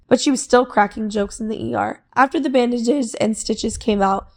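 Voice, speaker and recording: A woman's voice: on the high side, gravelly. A woman co-hosting a casual podcast, reading a long online post aloud in a playful, easygoing way. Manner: playful, reads posts aloud at a steady clip. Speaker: podcast co-host.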